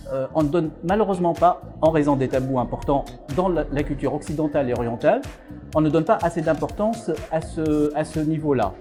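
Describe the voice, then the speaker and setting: A man's voice: fairly high pitch, dark tone. A middle-aged man from Algeria, a psychiatrist and author, answering interview questions with many fillers and restarts.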